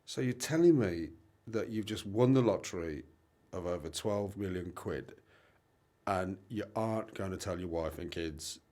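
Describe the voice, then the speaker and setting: A man's voice: low-pitched, moderate pace, dark tone, natural voice. A young British man playing a sensible, concerned friend who keeps questioning insistently, in an amateur radio play. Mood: incredulous.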